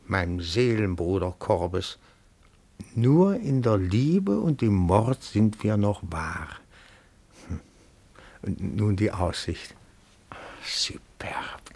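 Slow, gravelly, timid old bookkeeper. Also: male voice, sits low, darkish tone, heavily acted. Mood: awed, savoring. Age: older. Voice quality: gravelly, husky, often breathy. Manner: deferential, pedantic, slow and careful. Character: timid, meticulous, reverent retired bookkeeper, small elderly man. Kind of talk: German radio play acting.

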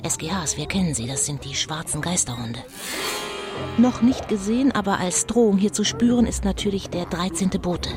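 An actress playing a suspenseful live reporter commentating on a ghost hunt in a children's audio drama. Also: female voice, lowish voice, lively intonation, normal speed, natural voice. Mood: tense. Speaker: on-scene reporter. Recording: loud suspense music underneath.

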